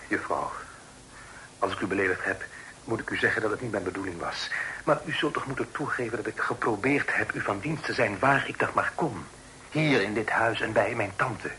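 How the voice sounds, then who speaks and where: An actor playing an earnest, cautious young gentleman in a period radio drama, speaking in a formal, measured way. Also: lowish voice. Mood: earnest, sympathetic. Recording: noisy old radio drama recording.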